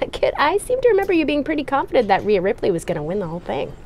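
A chatty wrestling podcast host. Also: female voice, sits high, wildly swooping pitch, fast talker, darkish tone, slight background noise, heavily acted. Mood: upbeat. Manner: chatty, confident.

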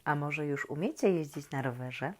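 A woman reading a children's story expressively and talking gently and directly to young listeners. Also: low voice, normal speed, a little hiss or hum.

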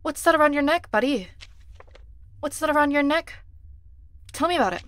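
A woman's voice: high-pitched, animated, moderate pace, a bit bright, no room sound. Chatty woman streamer muttering through a game. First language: Korean. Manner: chatty, thinks aloud, reads numbers under her breath. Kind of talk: casual gameplay commentary.

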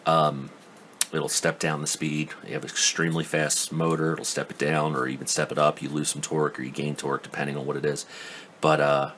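A man's voice: very deep voice, flat delivery, a little fast, fairly bright tone, slight background noise. A man giving a casual hands-on tool review at his workbench, rambling and skeptical, full of ums and restarts. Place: indoor workbench.

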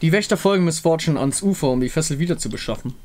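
A man's voice: on the high side, lively intonation, fast, a little mellow. A man reading scripted story narration from a game as its narrator. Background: music.

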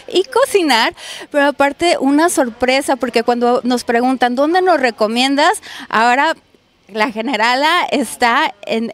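A woman in her forties from northern Mexico, reporting on location with a handheld mic and gushing with praise. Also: sits high. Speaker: reporter host. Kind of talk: on-location food interview. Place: outdoor patio and restaurant interior. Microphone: handheld microphone.

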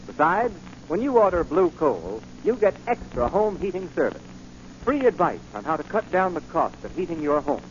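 A man's voice: on the high side, sing-song, normal speed. An American man announcing on 1940s radio in a formal, emphatic scripted style. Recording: dull 1940s radio recording, faint noise.

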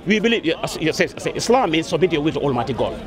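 A man argues in an open-air debate and quickly quotes scripture by chapter and verse. His voice sits high, with a clear crowd in the park around him. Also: big pitch swings. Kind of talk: street debate. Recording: outdoor crowd noise.

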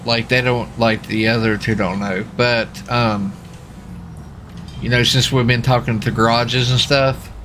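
A man's voice: mid-range voice, fairly even intonation, unhurried. A man rambling in a joking, folksy way during casual in-character gaming banter. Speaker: role-play gamer playing a US marshal. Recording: game voice chat with clear vehicle noise.

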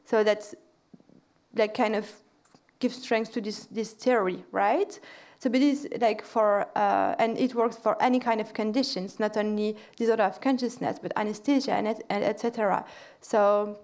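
A woman's voice: mid-range voice, moderate pace, darkish tone. A woman lecturer answering audience questions at a podium in a large lecture hall, with many fillers and restarts.

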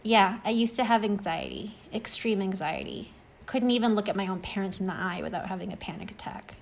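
A woman's voice: medium pitch, animated, fairly quick, creaky. A woman playing a reassuring, encouraging, talkative friend in an acted short-story reading. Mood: earnest. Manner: encouraging, explanatory. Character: reassuring, talkative friend who overcame extreme anxiety.

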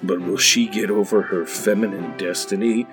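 A man playing a solemn, overblown film trailer announcer with a slow, portentous delivery. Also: lowish voice, steady tone, over music, natural voice. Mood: mock solemn. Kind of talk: parody film trailer narration.

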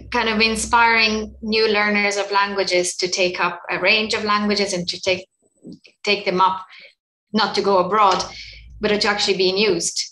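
A woman joining a panel by video call from a small room, speaking thoughtfully about education with halting restarts. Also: medium pitch, bright, forceful tone, echoey room, poor audio. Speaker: education academic. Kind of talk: panel discussion. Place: small room at home, indoors. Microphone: laptop webcam on a video call.

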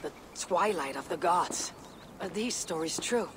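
An actor playing an earnest, questioning Viking warrior in a video game, thoughtful in manner. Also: male voice, fairly high pitch, animated, a little slow, noisy background. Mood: puzzled. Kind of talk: video game cutscene acting.

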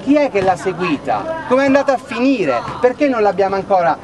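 A man's voice: high-pitched, very animated, brisk. A man in his thirties speaking earnestly to a crowd at an outdoor night meeting in a city square, often asking rhetorical questions, recorded by a camera at a distance. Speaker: activist at a public meeting. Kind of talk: impassioned speech to a crowd. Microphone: far camera.

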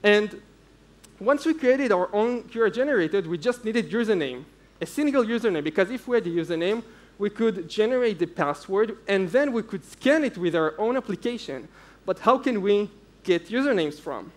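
Young man, high voice, technical conference talk, informal, step-by-step explanation. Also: expressive, moderate pace. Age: young adult. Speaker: conference speaker, security researcher. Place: indoor stage at a conference.

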